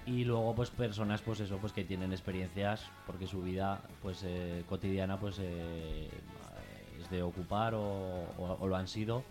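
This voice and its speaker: Low-voiced Spanish man, hesitant and rambling. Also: fairly even intonation, normal speed, over music. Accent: Peninsular Spanish. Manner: hesitant, rambling, repeats himself. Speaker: activist guest on a radio programme. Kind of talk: informal spoken interview.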